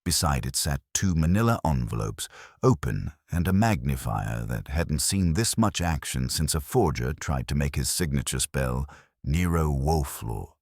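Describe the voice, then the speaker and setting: A man's voice: very deep voice, expressive, a little slow, vocal fry, darkish tone, no echo, clean studio sound. A man narrating a first-person detective story in a wry, hard-boiled manner. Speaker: audiobook narrator.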